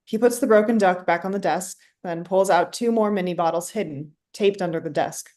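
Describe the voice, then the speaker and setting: A woman's voice: fairly low pitch, fairly quick, a little mellow. A woman reading scripted stage directions as narrator for a play reading.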